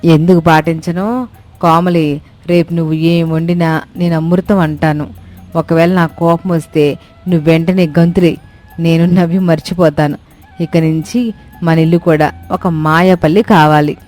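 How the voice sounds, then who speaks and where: A woman's voice: deep voice, fairly even intonation, unhurried, darkish tone. A woman playing a reformed, bossy village mother-in-law in a moral story, giving folksy, emphatic, good-humoured advice. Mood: contented. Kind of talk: scripted moral story acting. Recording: music behind the voice.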